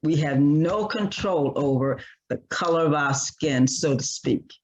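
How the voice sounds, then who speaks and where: A man speaking as a panelist in an online discussion, earnest and repetitive, halting with many restarts. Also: fairly high pitch, lo-fi sound.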